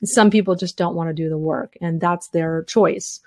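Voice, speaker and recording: A woman's voice: lowish voice, fairly even intonation, a little mellow, no room sound. Tired woman fielding health questions. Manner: talks fast in long run-on answers with many fillers. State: tired, losing her voice. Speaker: health educator hosting a webinar Q&A. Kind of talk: live Q&A, answering listener questions.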